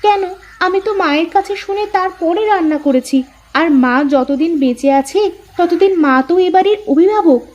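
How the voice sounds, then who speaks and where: A woman's voice: very high-pitched, moderate pace, a little mellow, highs cut off. A woman voicing a dutiful young village daughter-in-law who stands her ground, in a Bengali cartoon story. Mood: defensive.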